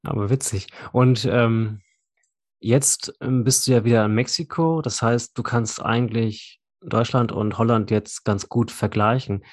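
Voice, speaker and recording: Casual interviewer with a dry, close sound. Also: female voice, very deep voice, steady tone, measured pace, darkish tone, no room sound. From Germany. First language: German. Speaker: interviewer and conversation partner. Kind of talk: casual bilingual chat.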